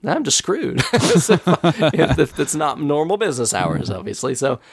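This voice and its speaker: Lively, gravelly man with a cold. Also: a little high, moderate pace, dry recording, studio quality. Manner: chatty storyteller, laughs often, animated retelling. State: has a cold, nasal and scratchy. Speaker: podcast host. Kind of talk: casual podcast chat.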